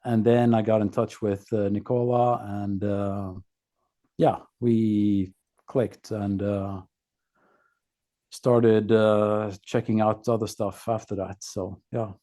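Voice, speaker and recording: A man from Norway with a Norwegian accent, a podcast guest telling his own story casually in a halting, laconic way. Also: on the low side, flat delivery, mellow.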